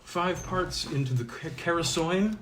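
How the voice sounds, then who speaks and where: A man's voice: fairly high pitch, unhurried, echoey room, recorded from a distance. A man speaking as an informal storyteller, reading and introducing old tales.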